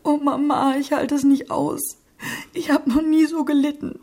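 An actress playing a tender, impulsive young daughter in love, earnest and urgent, in a German radio drama. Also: female voice, high voice, little pitch movement, normal speed, warm tone. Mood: anguished.

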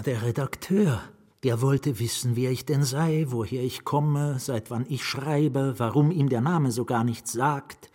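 An actor in a German radio drama playing a haunted, nameless writer who confides in a halting, dramatic way, sometimes hushed to a whisper. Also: male voice, medium pitch, lightly acted. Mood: bitter, shaken. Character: haunted nameless male writer confiding his lost love.

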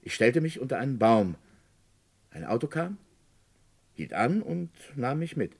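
An actor playing a composed, courteous village notary under suspicion in a radio play, speaking politely and deliberately with a husky voice. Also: male voice, mid-range voice, big pitch swings, a little mellow, heavily acted. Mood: explaining carefully. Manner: polite, deliberate, halting explanations.

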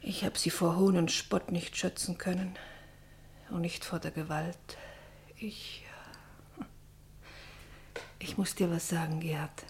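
A woman's voice: lowish voice, steady tone, takes it slowly, pauses often, a little mellow, a little hiss or hum. An actress playing a middle-aged pastor's wife grieving for her daughter in a German radio drama, anguished, bitter and brooding. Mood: hushed confiding. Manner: anguished, brooding, partly whispered.

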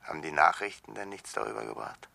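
An actor playing a bitter, mocking escaped convict out for revenge in a radio crime drama, sardonic and deliberate, with a gravelly voice. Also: male voice, very deep voice, big pitch swings, sharp tone, heavily acted. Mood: sardonic menace.

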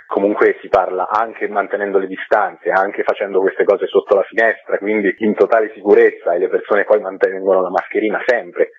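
Low-voiced man on tinny phone. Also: husky, dry recording. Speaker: stage actor, radio interview guest. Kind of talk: conversational interview answers. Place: phone call into a radio programme. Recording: tinny, narrow-band telephone line.

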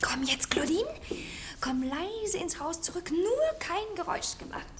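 Young noble wife, extremely high voice, wheedling, haughty, melodramatic, theatrical radio drama. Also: female voice, lively intonation, measured pace, noisy background. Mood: hushed, urgent. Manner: melodramatic, pleading, grandly indignant. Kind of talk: theatrical radio play acting.

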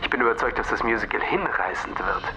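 An actor playing a polite, coaxing schemer in a radio crime drama, speaking persuasively. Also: male voice, medium pitch, lively intonation, quick pace, background noise, lightly acted. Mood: smoothly coaxing. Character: polite, coaxing male schemer pushing a deal.

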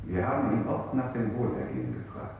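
A man's voice: fairly low pitch, sing-song, a little fast, darkish tone. An actor playing a relentless, dogmatic interrogator in a radio play, stating his accusations with emphatic certainty. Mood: matter-of-fact. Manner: declarative, emphatic. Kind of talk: avant-garde radio play acting. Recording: roomy, echoing studio sound.

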